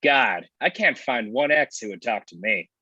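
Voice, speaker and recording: A man acting as a wisecracking, needling friend. His voice is bright and very animated, reading a comedy script over a slightly dull, lo-fi connection. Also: medium pitch, normal speed, husky, heavily acted. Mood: teasing. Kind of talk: live table read of a comedy script.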